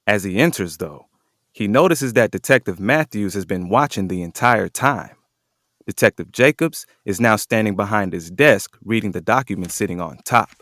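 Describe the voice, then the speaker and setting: Low-voiced male narrator, dry sound. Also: a bit bright, no room sound. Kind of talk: scripted present-tense narration.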